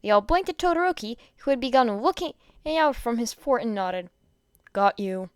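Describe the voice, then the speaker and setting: A woman reading fan fiction aloud in an expressive storytelling style, with a clear voice. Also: on the high side, a little fast, no room sound, clean studio sound.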